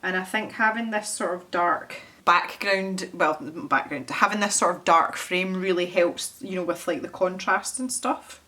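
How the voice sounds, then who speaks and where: A woman's voice: on the low side, normal speed, hardly any pauses, sharp tone. A young Scottish woman chatting casually as she paints, thinking aloud and poking fun at herself. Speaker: art channel host. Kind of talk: casual chat while painting.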